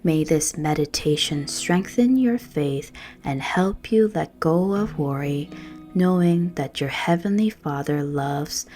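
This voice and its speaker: Slow, low-voiced woman guiding meditation. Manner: gentle, soothing, hushed, measured phrasing. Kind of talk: scripted guided meditation and prayer. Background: music.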